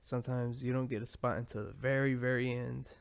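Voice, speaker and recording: A man's voice: medium pitch, fairly even intonation, fairly quick, gravelly, clear, resonant tone. A man hosting a podcast, talking in a loose, rambling monologue full of profanity and asides. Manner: rambling, profane, laid-back. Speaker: podcast host.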